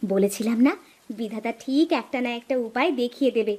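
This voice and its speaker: A woman's voice: a little high, normal speed, mellow, lightly acted. A woman voicing a practical, reassuring village housewife in a cartoon, nudging her husband along. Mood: insistent. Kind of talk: Bengali cartoon voice acting.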